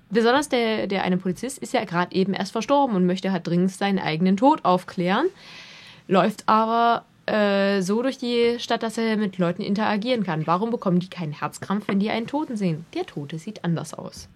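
A woman with an everyday voice of middle pitch, chatting casually. She lays out comic details in a chatty, easygoing way. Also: brisk. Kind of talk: casual chat.

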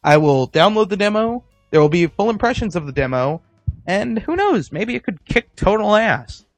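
Excitable man co-hosting a podcast. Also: high voice, normal speed, no echo, soft background music. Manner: excitable, jokey, rambling storyteller. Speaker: podcast co-host. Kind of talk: casual chat.

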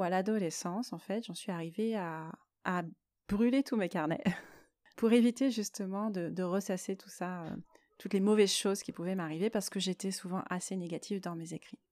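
Female podcast host, mid-pitched, personal explanatory monologue, hesitant phrasing, very dry sound. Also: a little mellow, dry recording.

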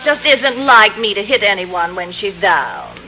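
An actress playing a catty, falsely sweet schoolteacher in a gushing, affected manner for old-time radio comedy. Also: female voice, mid-range voice, very animated, measured pace, highs cut off, faint music behind. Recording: old radio recording with studio audience.

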